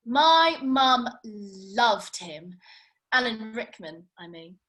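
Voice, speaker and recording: A woman's voice: on the high side, big pitch swings, drawn-out words, fairly bright tone, full character voice. A woman acting a feisty, blunt young working-class woman in a comedy script read, colloquial and sweary. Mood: chatty.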